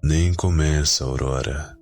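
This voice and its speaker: A man's voice: rumbling low, steady tone, takes it slowly, a little mellow. A man playing a grumpy young vampire brother who is curt but secretly fond, in an ASMR roleplay drama. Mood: irritated. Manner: curt, grumbling, dismissive. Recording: music behind the voice.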